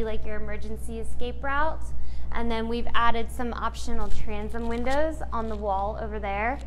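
A woman with a slightly high voice walks a viewer through a show home in a casual, friendly way, pointing out features as she goes. She talks informally and drops in small fillers. A faint hiss of noise sits under the recording. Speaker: home builder tour guide. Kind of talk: casual walkthrough home tour. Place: indoors in an empty show home.

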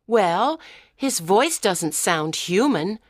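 A curious, imaginative schoolgirl in her teens, played by a woman narrator. She is excitable, with lively, swinging intonation. The audiobook sound is dry and close. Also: medium pitch, drawn-out words, dry recording, full character voice. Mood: excited, suspicious. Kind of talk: audiobook dialogue.

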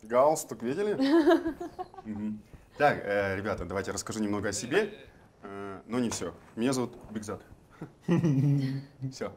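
A man's voice: lowish voice, moderate pace, pauses often. A man in his thirties chatting casually in a group, joking and self-mocking. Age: 30s. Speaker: dating show contestant. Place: large dining room indoors.

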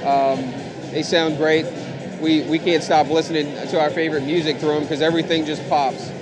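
A man's voice: a little high, little pitch movement, normal speed, rough recording. A man in his thirties presenting products informally as a company representative, in an explanatory, matter-of-fact way. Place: large indoor trade show hall, at a booth. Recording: background music and trade show floor bustle.

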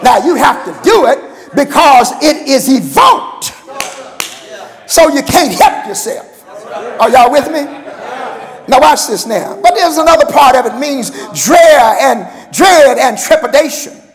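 An older man with a gravelly voice, preaching an impassioned, emphatic sermon and calling on his congregation. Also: extremely high pitch, a bit bright. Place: large church sanctuary, at a pulpit. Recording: church hall with long reverb.

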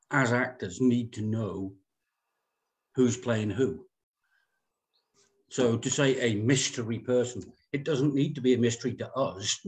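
Male panellist, mid-pitched, blunt and opinionated, conversational delivery on a video call. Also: darkish tone. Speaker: actor on a script panel. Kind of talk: casual spoken critique. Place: room at home on a video call. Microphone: video call computer microphone.

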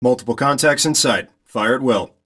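A man acting as a steady military reconnaissance pilot in a game, giving terse, commanding orders over the radio. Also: medium pitch, moderate pace, close to an everyday voice. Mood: focused, commanding. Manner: clipped, commanding. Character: steady male military reconnaissance pilot directing a ground squad.

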